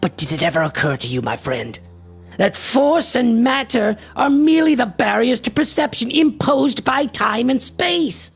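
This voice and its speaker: A woman playing an arrogant, obsessive male antiquarian in a radio horror drama, raspy and creaky, with grandiose, condescending delivery. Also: fairly high pitch, very animated, normal speed, full character voice. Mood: intense, condescending. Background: faint music.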